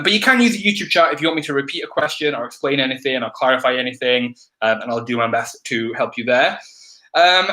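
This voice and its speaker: A man's voice: a little high, bright, forceful tone. A man hosting an online quiz, giving chatty instructions full of ums, his voice a little crackly and throaty. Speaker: quiz host. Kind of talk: live-stream housekeeping announcements.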